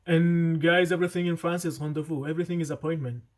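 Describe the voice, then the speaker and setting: A man's voice: fairly high pitch, fairly even intonation, quick pace. Earnest young man giving vlog advice. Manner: earnest, talks in restarts and asides. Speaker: vlogger. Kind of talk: casual vlog advice to camera.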